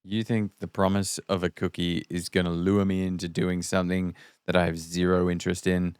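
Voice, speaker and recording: A man's voice: sits low, level tone, a little fast, clear, resonant tone, no room sound, lightly acted. A man playing a miserable, grumpy young man who has been dumped, sighing and downbeat, in a table read of a film comedy. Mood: miserable, upset.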